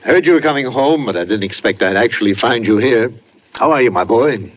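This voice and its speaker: An actor playing an older, avuncular, slightly pompous judge in a 1950s radio drama. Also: male voice, medium pitch, lively intonation, brisk, close to an everyday voice. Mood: hearty, appraising. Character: hearty older male judge, avuncular but pointed family friend. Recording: muffled 1950s radio recording.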